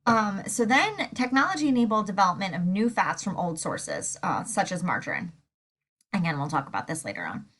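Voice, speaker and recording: A woman teaching a recorded food science lecture while stuffed up with a sore throat, relaxed and full of fillers. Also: mid-range voice, fairly quick. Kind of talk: recorded lecture over slides.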